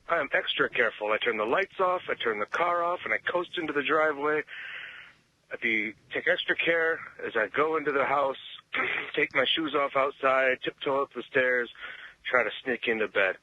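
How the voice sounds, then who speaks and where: A man calling in on a voicemail, telling a joke in deliberate short phrases. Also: medium pitch, expressive. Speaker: voicemail caller. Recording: dull lo-fi voicemail message.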